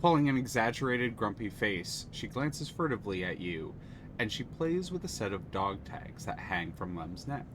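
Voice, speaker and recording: Lively low-voiced man narrating a game. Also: moderate pace, slight background noise. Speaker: narrator reading the game aloud. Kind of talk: reading game text on a live stream. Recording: music playing under the voice.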